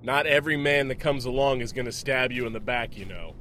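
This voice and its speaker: A man's voice: mid-range voice, a little fast, bright, forceful tone, a little hiss or hum, lightly acted. A man playing a wounded, exasperated vampire-slaying partner in a radio drama, sarcastic and bitter.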